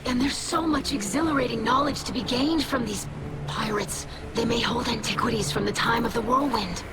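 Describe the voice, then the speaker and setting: A fairly high-voiced young alien scribe, played by a woman in a video game. She is eager and bookish. Her phrasing is formal and polite, full of earnest curiosity. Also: moderate pace, loud music behind the voice, close to an everyday voice, low-quality recording. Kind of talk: video game cutscene acting.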